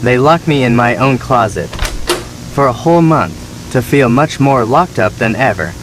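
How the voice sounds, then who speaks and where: A man playing a neglected, resentful younger son, telling his story as measured, plaintive scripted horror narration. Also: medium pitch, lively intonation, over music, close to an everyday voice, rough recording. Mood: aggrieved.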